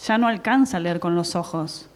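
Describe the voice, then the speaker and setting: A woman translator and teacher, explaining her ideas clearly on a panel, with occasional fillers. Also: lowish voice, normal speed. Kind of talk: panel discussion. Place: conference hall at a book fair.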